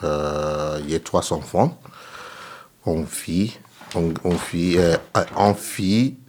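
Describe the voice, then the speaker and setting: Halting deep-voiced man. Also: darkish tone, slight background noise. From Nigeria. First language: English. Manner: hesitant, searches for French words. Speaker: radio guest. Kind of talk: halting casual speech on air.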